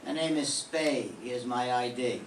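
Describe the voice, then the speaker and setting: A man's voice: mid-range voice, measured pace, lightly acted. An older man playing a hard-boiled, wisecracking male private eye in a 1940s-style radio drama, with clipped, dry tough-guy lines. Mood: terse. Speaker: amateur radio drama student. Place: classroom. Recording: roomy classroom from a distant mic, faint hiss.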